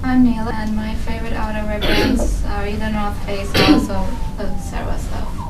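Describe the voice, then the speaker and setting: A woman's voice: medium pitch, level tone, moderate pace, crowd noise. Casual young woman in a focus group. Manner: casual, many fillers like "like". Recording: echoey room, distant mic, background noise.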